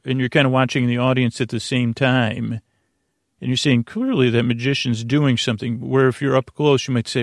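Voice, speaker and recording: Gravelly, halting man rambling softly off topic. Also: medium pitch, no echo, clean studio sound. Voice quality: gravelly, creaky. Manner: rambling, digressive, loses his thread; halting, stammering word repetitions. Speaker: podcast host. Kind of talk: meandering bedtime podcast intro monologue. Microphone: close, dry podcast mic.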